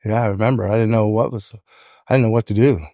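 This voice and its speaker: A man's voice: fairly low pitch, steady tone, quick pace, warm tone, no room sound, studio quality. A man in his fifties with an American accent, telling personal stories casually as a podcast guest. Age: 50s. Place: indoor podcast studio. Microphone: close studio microphone on a stand.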